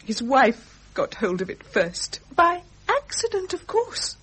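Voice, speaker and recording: An actress playing a seductive society mistress, anxious and imploring in a melodramatic radio drama. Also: female voice, sits high, huge pitch swings, slow, a bit bright, slight background noise, heavily acted. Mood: alarmed. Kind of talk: 1970s British radio drama acting.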